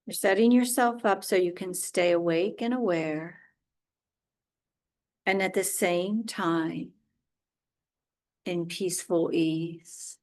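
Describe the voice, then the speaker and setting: Slow-paced woman guiding a relaxation meditation. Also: fairly low pitch. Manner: soothing, unhurried, with short spaced phrases. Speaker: yoga nidra teacher.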